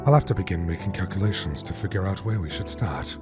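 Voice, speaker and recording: A man's voice: deep voice, fast talker, natural voice. A man playing an obsessive, brooding skeleton scientist in a dramatic comic dub, with precise, formal diction. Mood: thoughtful. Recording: music behind the voice.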